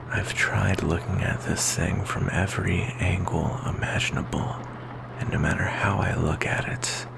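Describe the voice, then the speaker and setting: A man playing a melancholy, insecure vampire boyfriend in an ASMR roleplay, speaking softly and hesitantly in a gravelly whisper. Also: deep voice, background noise, heavily acted. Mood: anxious, insecure. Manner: soft, hesitant, intimate, slow with pauses.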